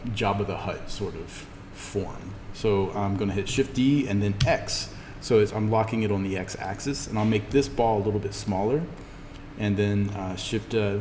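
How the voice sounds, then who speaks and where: A man teaching an informal in-class software tutorial, thinking aloud haltingly as he demonstrates. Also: on the low side. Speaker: instructor teaching 3D animation software. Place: classroom, indoors. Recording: echoey room with background noise.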